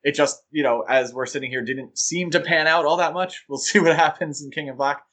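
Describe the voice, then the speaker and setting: A man's voice: on the high side, brisk, fairly bright tone. A man co-hosting a podcast, chatting casually and enthusiastically about comics, with frequent fillers and laughs. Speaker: podcast co-host. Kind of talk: casual chat.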